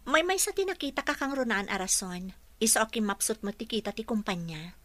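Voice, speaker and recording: A woman's voice: fairly high pitch, animated, brisk, a bit bright, dry recording. An actress playing an earnest, determined young woman employee in a radio drama, polite and self-assured. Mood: polite, confident.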